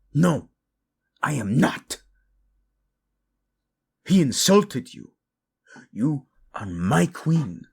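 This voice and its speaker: A man playing a devoted, protective prince in a romantic audio roleplay, speaking intimately with formal phrasing, Spanish endearments and a husky voice. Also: medium pitch, darkish tone, exaggerated voice. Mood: indignant, protective. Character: devoted, protective young prince, tender lover.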